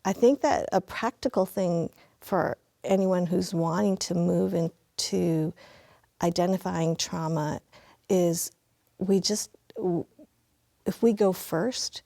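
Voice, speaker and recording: A woman sharing reflections on a panel discussion, speaking in halting restarts. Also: on the low side, gravelly, dark tone, dry recording, studio quality. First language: Mandarin.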